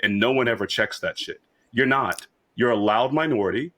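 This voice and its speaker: A man's voice: mid-range voice, a bit bright. A man from rural Kansas arguing his points in a casual panel discussion. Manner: argumentative, rapid and emphatic.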